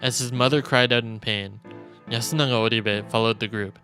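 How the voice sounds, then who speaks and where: A man with a mid-pitched voice reads visual novel text aloud for a stream. He narrates dramatically and in a measured way, like a storyteller, with music softly behind him. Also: fairly even intonation. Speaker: streamer reading a game aloud. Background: game music.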